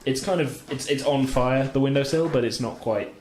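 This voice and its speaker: A man's voice: mid-range voice, moderate pace. A man running a casual tabletop roleplaying game, explaining rules and narrating turns in a hesitant, explanatory way. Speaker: game master. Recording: roomy echo with faint background noise.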